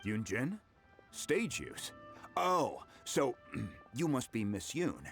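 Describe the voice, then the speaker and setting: An actor playing a humble, friendly blacksmith in a video game, with a folksy, hesitant way of talking. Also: male voice, mid-range voice, wildly swooping pitch, slow pace, creaky, soft background music, exaggerated voice. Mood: flustered, sheepish. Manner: hesitant, folksy, chuckling, colloquial. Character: humble, friendly male blacksmith, a bit slow to catch on.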